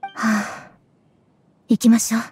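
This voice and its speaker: A woman voicing a worried, subdued young female student in an anime game, soft and breathy. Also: takes it slowly, close to an everyday voice. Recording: soft music behind.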